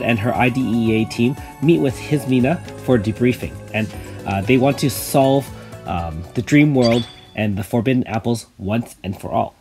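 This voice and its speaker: Easygoing man with a slightly raspy voice. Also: medium pitch. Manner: relaxed, many fillers. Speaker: gaming YouTuber. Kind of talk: casual game commentary.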